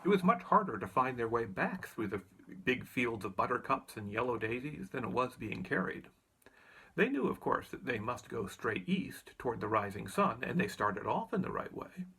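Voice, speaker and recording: A man's voice: medium pitch, very animated, normal speed, vocal fry, bright, forceful tone, breathy edge, poor audio. A man reading a children's story aloud as narrator. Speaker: audiobook reader.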